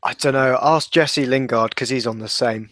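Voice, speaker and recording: A man in his thirties chatting about football in a hesitant, measured way with dry humour, on a headset in a video call. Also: mid-range voice, steady tone, fairly bright tone, dry recording. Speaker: podcast panellist. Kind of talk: casual football chat.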